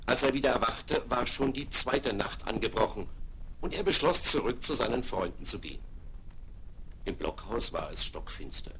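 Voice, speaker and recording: Calm male radio play narrator. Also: mid-range voice, moderate pace, gravelly, slight background noise. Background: music underneath.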